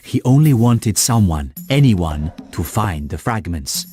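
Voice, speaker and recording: Mellow, low-voiced wise old mentor. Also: male voice, very animated, faint music behind. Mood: grave, resolute. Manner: solemn, formal, measured explanations. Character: wise old male mentor, grave Medjay warrior. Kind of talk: animated cartoon game cutscene acting.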